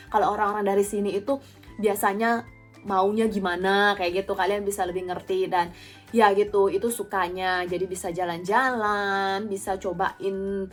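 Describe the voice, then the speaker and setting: A woman's voice: medium pitch, fairly bright tone. Young Indonesian woman chatting over music. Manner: informal, conversational, addresses viewers directly. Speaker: vlogger, former or current flight attendant. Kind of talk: casual chatty Q&A to viewers. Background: background music.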